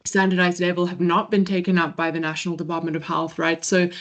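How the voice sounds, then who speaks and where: A woman researcher answers questions on a video call in a slightly low voice. She thinks aloud, hedges often and softens her points with fillers. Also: level tone, fast talker, lo-fi sound. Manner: thoughtful, hedging, many fillers. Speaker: research presenter.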